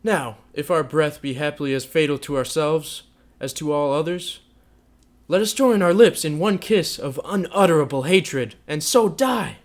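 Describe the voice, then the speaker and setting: A man voicing a bitter, scornful young man in a story, declaiming loudly with rage. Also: fairly high pitch, animated, measured pace, voice pushed up. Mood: raging. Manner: loud, declamatory, raging.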